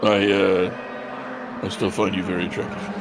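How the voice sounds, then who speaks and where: Wry male co-commentator over very loud noise. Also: low voice, flat delivery, moderate pace. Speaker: sim racing livestream co-commentator. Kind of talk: casual joking banter.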